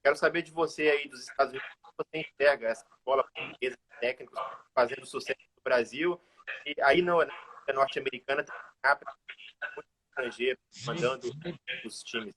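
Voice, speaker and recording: A man's voice: medium pitch, fairly quick, fairly bright tone, breathy edge, rough recording. A man interviewing a guest, building a long, detailed lead-in before his question.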